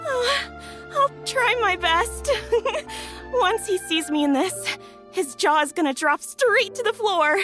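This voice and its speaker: High, sweet young bride-to-be. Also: female voice, animated, slow, fairly bright tone, soft background music, heavily acted. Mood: giddy. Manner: gushing, polite. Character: sweet, grateful, starry-eyed young bride-to-be customer. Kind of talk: video game voice acting.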